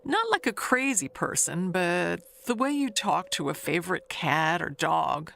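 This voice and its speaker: A woman playing a chatty, nosy older neighbor in an audio mystery monologue, gossiping in a confiding, halting way with sly wistfulness. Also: on the low side, big pitch swings, vocal fry, fairly bright tone, slight background noise, heavily acted.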